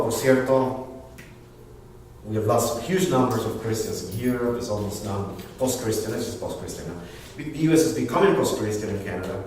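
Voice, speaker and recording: A man's voice: medium pitch, mellow, slight background noise. A man from Honduras with a Honduran accent, preaching a bilingual sermon and repeating words as he looks for them. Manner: switches between Spanish and English, repeats words while searching for them. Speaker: pastor. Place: church sanctuary. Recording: echoey church hall from far off.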